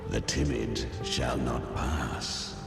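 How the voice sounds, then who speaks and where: An actor playing a creepy preacher-like man in a video game, speaking in cryptic, solemn pronouncements with false gentleness. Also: extremely low pitch, fairly even intonation, very slow, creaky, full character voice. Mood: ominous, solemn. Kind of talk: theatrical video game acting. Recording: echoing hall reverb, loud music.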